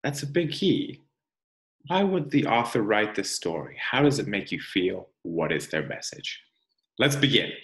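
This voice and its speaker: A man reading a picture book aloud like a teacher to children, expressive and deliberate, pausing for emphasis and guiding questions. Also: lowish voice. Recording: echoey room.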